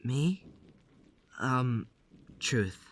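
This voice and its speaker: An actor playing a quiet, withdrawn, awkward teenager in an audio drama, reticent and hesitant. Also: mid-range voice, lively intonation, extremely slow. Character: quiet, withdrawn, awkward, nonbinary depressed teen patient.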